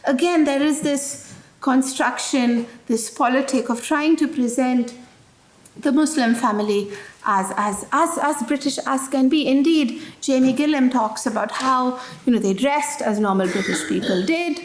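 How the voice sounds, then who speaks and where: A woman with a fairly high voice gives a public lecture in a hall. She talks in a loose, conversational way, restarting phrases as she goes. The room rings with noticeable echo. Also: unhurried. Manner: conversational, with frequent "you know" and restarts.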